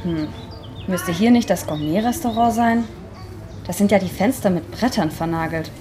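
A woman's voice: mid-range voice, normal speed, background noise, lightly acted. Bewildered, insistent young woman acted in a radio play. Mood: puzzled, annoyed. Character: confused, insistent young woman back in a changed hometown. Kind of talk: amateur radio play acting.

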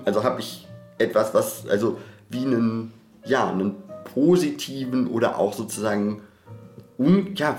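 A man's voice: mid-range voice, darkish tone. A man in a reflective podcast discussion, speaking in a searching, self-correcting academic way. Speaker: podcast co-host. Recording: roomy echo, background guitar music.

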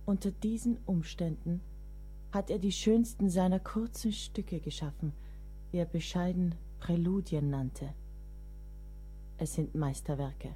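Radio play part: a composed female companion narrating memories solemnly, soft dark tone, music underneath. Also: fairly low pitch, a little slow, slightly rough. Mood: solemn, reflective.

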